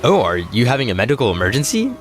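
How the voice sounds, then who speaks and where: A man's voice: medium pitch, huge pitch swings, quick pace. An actor playing an earnest, literal-minded male astronaut in an audio sci-fi drama, hesitant and full of puzzled questions. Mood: bemused, practical. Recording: music behind the voice.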